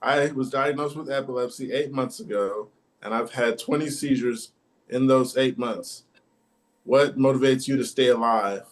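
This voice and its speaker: A man's voice: medium pitch, moderate pace. A man in his thirties in a casual group chat, asking questions in a conversational way. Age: 30s.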